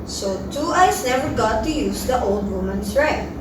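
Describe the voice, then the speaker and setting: A woman's voice: a little high, expressive, normal speed. A woman narrating a scripted fairy tale as part of a readers theater. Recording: very echoey room, distant, noisy.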